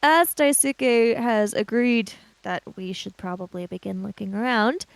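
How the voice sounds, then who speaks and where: A woman improvising narration as a player in a tabletop game, in a halting, thoughtful manner. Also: fairly high pitch, gravelly, no echo, low-quality recording.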